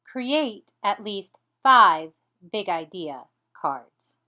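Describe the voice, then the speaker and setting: A woman teacher presenting a step-by-step lesson for children, with deliberate, encouraging delivery. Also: medium pitch, very animated, pushed and bright. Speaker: teacher presenting an educational show.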